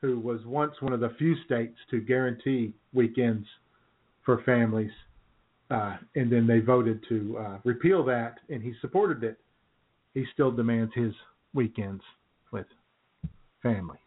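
A man's voice: mid-range voice, measured pace, breathy edge. Tinny-sounding wry male radio co-host. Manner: wry, chuckling, repeats phrases. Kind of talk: casual political banter. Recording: tinny, narrow phone-like sound.

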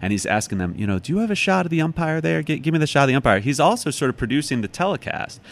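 An American man talking casually as an interview guest, telling stories in an animated, reflective way and correcting himself as he goes. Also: fairly high pitch, racing, vocal fry. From United States, from Chicago. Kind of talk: casual conversational storytelling.

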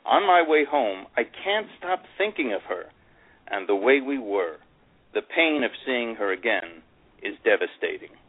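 A man's voice: medium pitch, sing-song, measured pace. An actor playing an older divorced man who reads his memoir in the first person, lovelorn and full of regret. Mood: pained. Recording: tinny phone-like radio sound.

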